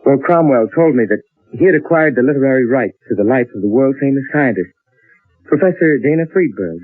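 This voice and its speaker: A man's voice: mid-range voice, lively intonation, fairly quick, no room sound, soft background music, lightly acted. An actor playing a nervous, earnest writer in his thirties in old-time radio drama style. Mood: earnest recounting. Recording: lo-fi narrowband old radio recording.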